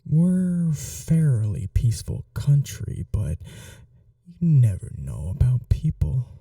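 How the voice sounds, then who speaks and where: A man playing a gentle, old-world vampire gentleman in an intimate ASMR roleplay, speaking in a polite, soothing, softly formal way. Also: mid-range voice, big pitch swings, takes it slowly, vocal fry, dry recording, studio quality, recorded from a distance, soft background music, full character voice. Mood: caring, attentive.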